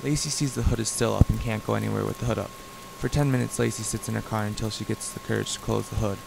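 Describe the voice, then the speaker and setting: A man's voice: lowish voice, steady tone, quick pace, creaky. A man reading a scripted radio play as narrator in an amateur way, with noisy sound effects behind him.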